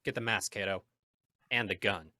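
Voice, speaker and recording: Man acting a forceful, low-voiced masked vigilante. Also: moderate pace, no echo, studio quality. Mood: grave, determined. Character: resolute, grave male masked vigilante hero. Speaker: actor in a radio play. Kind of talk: old-time radio drama acting.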